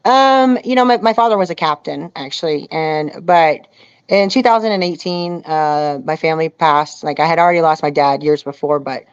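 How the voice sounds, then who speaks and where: A woman's voice: deep voice, normal speed, clean tone, dry recording. Candid woman storyteller on a dull, dry connection. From Houston, Texas area. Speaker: interview guest, outdoorswoman and reality show contestant. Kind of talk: casual storytelling in an interview.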